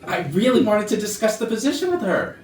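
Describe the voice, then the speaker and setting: A man's voice: high voice, very animated, fast. A man playing a defensive, blustering office executive, indignant and self-justifying, in a staged murder mystery comedy. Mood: pleading, explaining. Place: rehearsal room for a staged reading. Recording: echoey room, distant mic, background noise.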